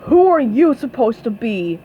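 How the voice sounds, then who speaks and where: A woman voicing an angry, menacing male cartoon ghost pirate in a booming, emphatic voice. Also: sing-song, unhurried. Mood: scornful. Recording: dull lo-fi, faint background noise.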